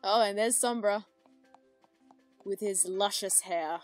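A woman giving casual, excitable and gushing reaction commentary. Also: medium pitch, slow, soft background music.

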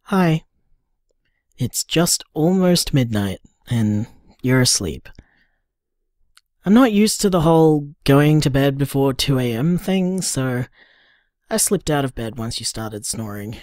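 A tender, upbeat young man in an audio drama. His mid-pitched voice moves expressively through soft, affectionate musing. The sound is dry and intimate. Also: expressive, takes it slowly, long pauses, dry recording.

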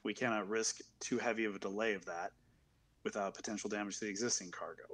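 A man playing a tired, officious spaceship captain in his thirties in an online roleplay, speaking in halting procedural language. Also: fairly low pitch, fairly even intonation, a bit bright. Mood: firm, procedural. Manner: halting, procedural, bureaucratic delivery. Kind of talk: improvised online tabletop roleplay.

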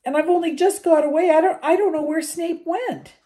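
A woman voicing an anxious, studious young schoolgirl in a storybook reading, with a fretful, high-strung manner. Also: big pitch swings, a little slow, dark tone, exaggerated voice. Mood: distressed.

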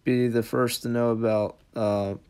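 A man's voice: mid-range voice, level tone, a little slow, over a noisy crowd. A man giving a casual how-to voice-over as the host of an app tutorial.

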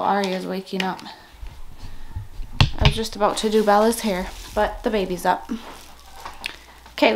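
A woman in her thirties with a raspy morning voice, chatting casually to a handheld camera as she vlogs her day at home. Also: fairly high pitch, background noise. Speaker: family vlogger and mother. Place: rooms at home, indoors. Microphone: camera at arm's length, sometimes set down.

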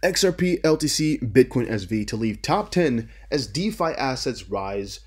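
A man's voice: medium pitch, big pitch swings, moderate pace, breathy edge. A young man whose first language is Dutch, casually reading and commenting on articles, often stumbling and repeating words. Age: young adult. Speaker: crypto news youtuber.

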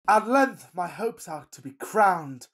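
A man playing a fussy, passionate Victorian gentleman in a farcical radio play, with pompous, declamatory delivery. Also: sits high, expressive, takes it slowly, exaggerated voice. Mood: rapturous. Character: melodramatic, fussy Victorian gentleman suitor, self-pitying and passionate.

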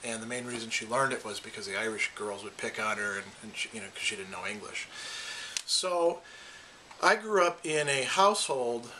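A man's voice: mid-range voice, expressive, moderate pace, sharp tone, slight background noise, poor audio. A man from Wisconsin with an Upper Midwest American accent, talking casually to camera as a philosophy lecturer and often restarting his phrases. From Wisconsin, USA. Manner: thoughtful, repeats words as he restarts. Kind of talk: casual personal talk to camera.

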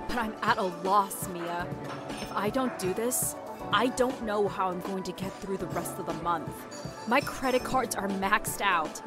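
A woman plays a worried, hard-up widowed mother in an audio drama. She is a reluctant thief with an ordinary, mid-pitched voice, and music plays clearly behind her. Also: close to an everyday voice.

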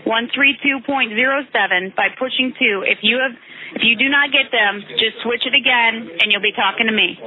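A woman's voice: a little high, moderate pace, phone-quality sound. A woman air traffic controller giving reassuring, encouraging instructions to a pilot over aviation radio.

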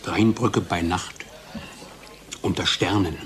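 Radio drama part: ironic, declaiming drug developer, gleefully cynical, lower register, faint background noise. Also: male voice, fairly even intonation, slow pace, fairly bright tone. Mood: mock salesmanship. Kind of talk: radio play acting.

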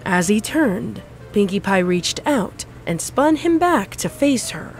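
Low-pitched woman narrating a story over music. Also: sing-song, takes it slowly.

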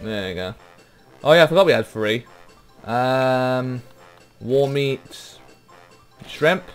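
Welsh guy rambling through a game video, relaxed, lots of ums, quiet game music behind. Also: medium pitch, takes it slowly. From Wales. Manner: rambling, laid-back, trails off with ums. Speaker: gaming YouTuber. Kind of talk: casual let's play commentary.

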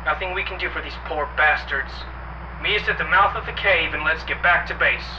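A man playing a calm, steady soldier leading a patrol over military radio in an audio drama. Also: a little high, brisk, lo-fi sound. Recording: distant, noisy radio transmission.

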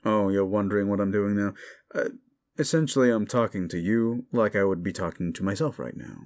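Man playing a gravelly, condescending demon. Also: fairly low pitch, fairly quick, a little mellow, lightly acted. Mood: irritated, teasing. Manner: sarcastic, taunting, drawling. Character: condescending male demon, gleefully cruel and mocking. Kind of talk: intimate audio roleplay addressed to the listener.

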